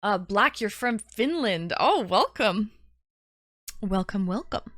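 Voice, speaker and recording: A woman with a Canadian accent speaks at an ordinary mid-range pitch, recorded close and dry with little room sound. She chats informally as a livestream host, talking straight to her viewers and often repeating short phrases. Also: expressive, slow, a bit bright, no room sound. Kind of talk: casual livestream chat, greeting viewers.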